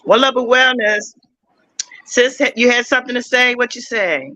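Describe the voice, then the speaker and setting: Host, man, bright and high, halting delivery, dry dull sound. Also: no echo. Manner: gentle, halting, moves the topic on. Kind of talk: casual group discussion.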